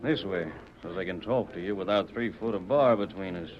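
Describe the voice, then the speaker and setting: A man as hard-boiled cowboy lawman, clipped folksy talk, low voice, radio drama, muffled lo-fi sound. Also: fairly quick, lightly acted. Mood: sly, casual. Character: tough, terse undercover Western lawman. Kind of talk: old-time radio drama acting. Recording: dull vintage radio recording with chatter behind.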